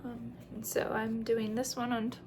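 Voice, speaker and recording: A woman's voice: on the high side, flat delivery, moderate pace, noisy background. A woman chatting casually through a craft show-and-tell as host, in a rambling way with self-deprecating asides.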